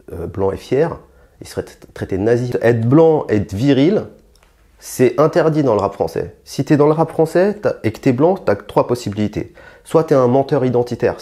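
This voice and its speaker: Blunt low-voiced French man. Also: warm tone. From France. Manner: blunt, provocative, many restarts and fillers; rises in pitch and force when heated. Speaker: interviewed rapper. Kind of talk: casual interview answers.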